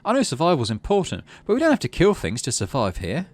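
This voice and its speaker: A man voicing an earnest, gentle young pacifist colonist in a video game, speaking plainly. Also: on the high side, wildly swooping pitch, quick pace, no echo, pitched above the performer's own voice. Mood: earnest, disapproving.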